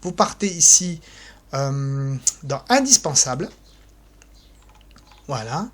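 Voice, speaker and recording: A speaker walks through a step-by-step phone tutorial, hesitant, filling pauses with "alors" and "euh" and mouthing little click sounds like "poc". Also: medium pitch, big pitch swings, breathy edge. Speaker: tutorial presenter for an association.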